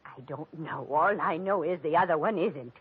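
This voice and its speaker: Fluttery husky society matron, tinny radio. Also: female voice, low-pitched, normal speed. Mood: nervous, evasive. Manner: fluttery, stammering, theatrical. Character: flustered, scheming society matron, fallen on hard times. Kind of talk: 1940s radio drama acting. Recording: muffled tinny old radio broadcast.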